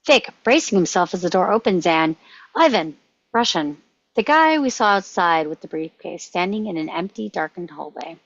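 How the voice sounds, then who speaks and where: A woman's voice: on the low side, lively intonation, moderate pace, no room sound, highs cut off. A woman reading stage directions as scripted narration at a screenplay table read.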